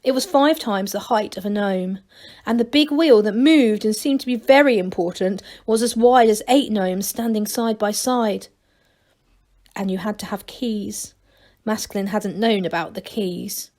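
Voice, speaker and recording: A woman reading a story aloud in an expressive, deliberate narrating manner. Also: mid-range voice. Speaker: school staff reader.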